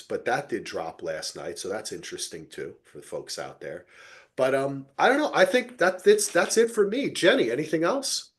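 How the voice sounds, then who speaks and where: Animated male podcast host, lively pitch swings. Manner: animated, chatty, informal. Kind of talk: casual TV recap discussion, reading listener comments.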